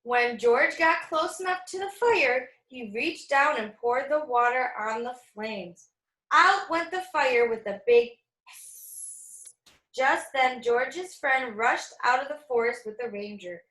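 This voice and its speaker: A woman reads a picture book aloud to young children in a high-pitched voice. She takes her time, telling it expressively like a teacher at storytime. The room around her is noticeably echoey. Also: animated, slow, fairly bright tone.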